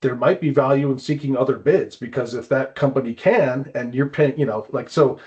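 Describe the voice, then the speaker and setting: A man's voice: medium pitch, normal speed, a little mellow. A man hosting a casual explanatory talk, conversational and often checking in with rhetorical questions. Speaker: host presenting tips. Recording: dull, band-limited audio.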